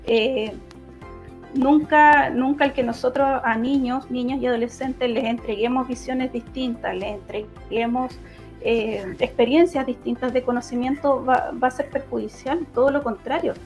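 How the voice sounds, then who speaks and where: A woman with a Chilean accent giving explanatory answers in an online debate, halting and often restarting her phrases. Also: high-pitched, steady tone, a little mellow. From Los Ríos region, southern Chile. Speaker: political candidate and law lecturer. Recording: dull lo-fi online audio, music behind.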